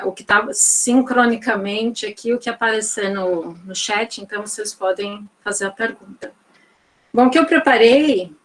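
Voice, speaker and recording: A woman's voice: a little high, a bit bright. A woman with a Northeastern Brazilian accent giving an online physics-education lecture over a video call, talking in a loose, thinking-aloud way full of "né" and "então".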